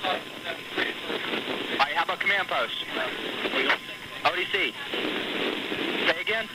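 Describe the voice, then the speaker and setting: A man working as a military radio operator, making measured, formal procedural announcements. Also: sits high. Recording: archival radio with loud background noise.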